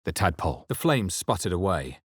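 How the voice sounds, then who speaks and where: A brooding adventurer narrates a dark fantasy game in a very low, husky voice. The delivery is measured and grave, with dramatic pauses, recorded dry and close. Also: male voice, big pitch swings, dry recording, exaggerated voice. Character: brooding male adventurer narrating his own dark memories. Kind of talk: solemn first-person narration in a fantasy video game.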